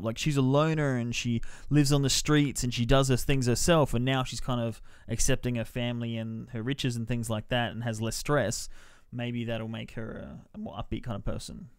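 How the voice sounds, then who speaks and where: A man's voice: mid-range voice, smooth, no echo, studio quality. A man giving a casual, rambling, informal film review as a podcast reviewer.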